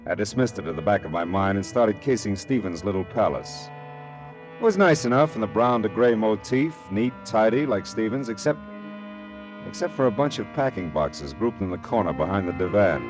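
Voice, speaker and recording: A world-weary private eye from a radio detective drama voiced by a male actor, dry and laconic. His voice sits on the low side but moves with lively swings in pitch. Music plays behind him. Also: normal speed, natural voice. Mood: hardboiled narrating. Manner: wry, laconic. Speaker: narrator and lead detective. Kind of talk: hardboiled detective radio drama narration and dialogue.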